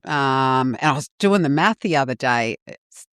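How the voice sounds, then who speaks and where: A woman's voice: a bass voice, lively intonation, moderate pace, fairly bright tone, clear, resonant tone, no echo, clean studio sound. A middle-aged woman, born in Fiji and raised in New Zealand, who first spoke Fijian, frankly and casually telling her life story as a podcast guest. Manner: frank, laid-back storytelling with swearing. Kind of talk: casual interview chat.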